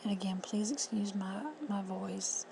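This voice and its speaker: A woman with a hoarse, husky voice giving plain, friendly step-by-step instructions in a casual how-to. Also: medium pitch, little pitch movement, measured pace. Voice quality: whispery, husky. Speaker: reseller tutorial host. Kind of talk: casual screen-recorded how-to. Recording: noisy home recording.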